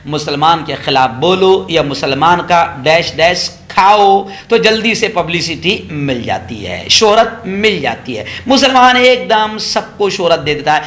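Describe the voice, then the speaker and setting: A man's voice: fairly high pitch, sharp tone. A man giving opinionated political news commentary, sarcastic and mocking, repeating phrases for emphasis.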